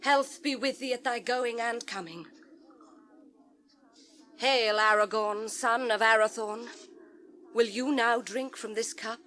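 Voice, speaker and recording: A steadfast young lady of a royal hall in a radio fantasy. The British voice is bright and a little high, formal and earnest. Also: slow, pauses often, a little hiss or hum. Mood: solemn. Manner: ceremonial, earnest.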